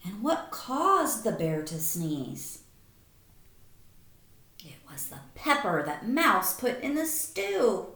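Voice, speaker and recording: A woman teacher reading a picture book aloud to young children, expressive, with simple questions, in an echoey room. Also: fairly high pitch, slow pace, long pauses. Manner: animated, simple child-directed phrasing.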